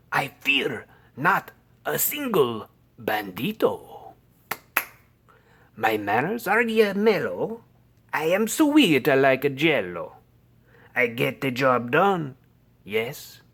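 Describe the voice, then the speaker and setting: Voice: medium pitch, background noise, heavily acted. A narrator voicing a bouncy boy kitten who plays a bold Chihuahua bandit, theatrical and singsong, with a mock Spanish accent.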